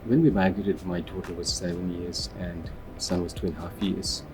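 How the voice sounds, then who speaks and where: Deep-voiced middle-aged man, earnest, music bed under him. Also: level tone, moderate pace, rough recording. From Bangladesh. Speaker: interviewee. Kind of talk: personal interview. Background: background music.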